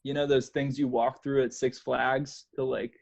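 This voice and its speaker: A man's voice: mid-range voice, little pitch movement, normal speed, gravelly, darkish tone, highs cut off. A man hosting a casual comedy podcast, talking in a joking, mock-serious manner. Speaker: podcast host.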